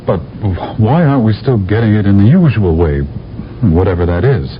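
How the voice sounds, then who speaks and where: An actor playing a reluctant young space captain, who also narrates, in a 1970s radio drama. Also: male voice, lowish voice, lively intonation, moderate pace, a little hiss or hum, lightly acted. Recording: dull old radio recording with music.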